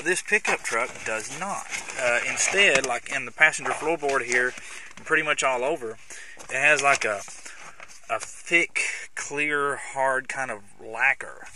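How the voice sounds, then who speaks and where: A man giving a casual, hands-on walkthrough as a video host, with somewhat halting, hesitant phrasing. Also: mid-range voice. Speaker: host of a how-to video on CB radio installation. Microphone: handheld camera's built-in microphone. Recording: clicky handheld camera audio, background noise.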